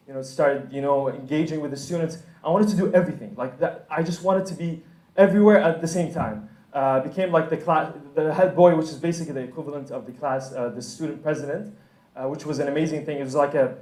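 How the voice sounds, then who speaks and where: A man's voice: fairly high pitch, a little mellow. Chatty young man, echoey room. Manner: chatty, lots of fillers, laughs often. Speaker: conference speaker. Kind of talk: casual talk to students. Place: room with an audience.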